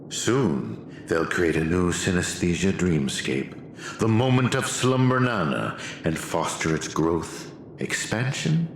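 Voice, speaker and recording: A man's voice: deep voice, reverberant, slight background noise, full character voice. An actor playing a villainous research aide in a video game, a pompous man who lectures theatrically. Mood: smug, dramatic.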